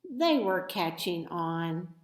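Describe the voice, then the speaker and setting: A woman reading a children's storybook aloud as expressive narration, with dramatic, deliberate phrasing. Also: lowish voice. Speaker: storybook reader. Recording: echoey room.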